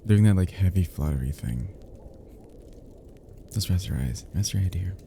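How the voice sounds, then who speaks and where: Deep, velvety man playing a tender male friend. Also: lively intonation, moderate pace, vocal fry, a little hiss or hum. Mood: gently teasing. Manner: soft, murmuring, gently teasing, affectionate. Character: tender, flirty male best friend lulling listener to sleep. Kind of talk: intimate roleplay ASMR.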